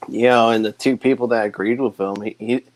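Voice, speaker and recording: A man co-hosts a casual sports podcast, his voice sitting in an ordinary middle range. He talks in a relaxed, drawn-out way. The recording is dry, with no room sound. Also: medium pitch, dry recording, poor audio. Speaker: podcast co-host.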